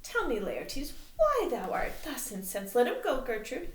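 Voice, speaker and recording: A woman voicing a troubled, scheming middle-aged king who speaks in a measured, heavy way. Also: wildly swooping pitch, echoey room, slight background noise, exaggerated voice. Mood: commanding. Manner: measured, brooding, lowered and heavy.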